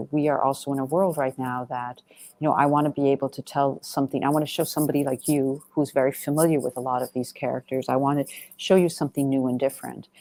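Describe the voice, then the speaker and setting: A woman film director answering interview questions in a reflective, earnest way, often halting and rephrasing as she goes. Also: extremely low pitch, mellow. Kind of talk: reflective Q&A conversation.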